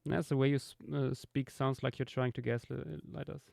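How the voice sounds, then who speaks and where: A man jokes casually over a voice call on a live stream. His voice is creaky and close-miked with no room sound. He delivers teasing lines in a dry, deadpan way. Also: medium pitch, normal speed, dry recording. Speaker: stream guest.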